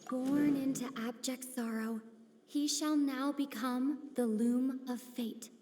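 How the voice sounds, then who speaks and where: A mellow, fairly high female voice over faint ambience. She is a solemn, knowing game character who speaks gravely and portentously, as if reciting dark lore. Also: steady tone, slow. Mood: solemn, accusing. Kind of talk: dramatic video game voice acting. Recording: faint ambient noise behind voice.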